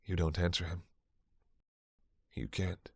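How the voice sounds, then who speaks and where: A man's voice: deep voice, level tone, slow, vocal fry, breathy edge, no echo. A man reading dramatic scripted second-person narration as a fantasy story narrator. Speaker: narrator and voice performer.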